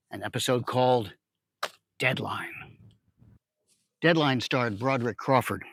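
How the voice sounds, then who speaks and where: A man's voice: mid-range voice, no echo. A middle-aged or older American man hosting with a conversational, wry, folksy scripted introduction. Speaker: host.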